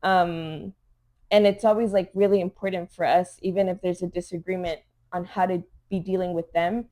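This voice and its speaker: A woman's voice: lowish voice, fairly even intonation, normal speed, mellow. A woman from Los Angeles, a mother of three, co-hosting a casual podcast and talking in an earnest, conversational way full of "like" and "you know". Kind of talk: casual chat.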